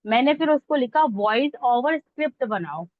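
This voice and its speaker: Female online tutor, higher-pitched voice, informal and conversational step-by-step teaching, slightly muffled lo-fi recording. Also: animated, moderate pace. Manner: conversational, checks that listeners follow. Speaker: instructor teaching reel editing online. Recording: dull lo-fi close dry audio.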